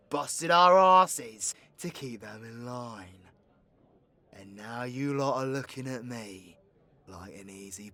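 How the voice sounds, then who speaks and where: A man's voice: fairly high pitch, bright, forceful tone, full character voice. A British man playing a foul-mouthed, hot-tempered supervillain antihero in an audio drama, with a brash, sarcastic, slangy delivery. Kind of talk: audio roleplay voice acting.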